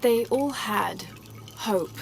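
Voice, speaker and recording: An actress playing a thoughtful young woman adventurer in a video game, measured and sincere. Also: mid-range voice, creaky. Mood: earnest, calm. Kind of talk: video game cutscene acting. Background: music.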